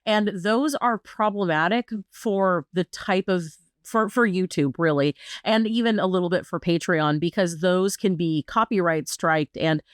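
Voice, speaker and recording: A woman co-hosting a podcast in an easygoing, wry manner. Her voice is low and smooth, and it is recorded close and dry with no room sound. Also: unhurried, hardly any pauses, no room sound. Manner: easygoing and wry, laughs between points. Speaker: podcast co-host. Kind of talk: casual chat.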